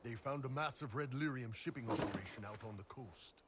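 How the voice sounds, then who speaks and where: An actor playing a big, easygoing mercenary captain in a video game, talking bluntly and informally. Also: male voice, medium pitch, a little fast, very noisy, close to an everyday voice. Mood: businesslike. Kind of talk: video game dialogue acting.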